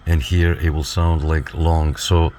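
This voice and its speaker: A man giving a casual, hands-on product walkthrough, explaining step by step and often checking in with a quick okay. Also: extremely low pitch, level tone, dark tone, a little hiss or hum. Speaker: radio reviewer, demonstrator.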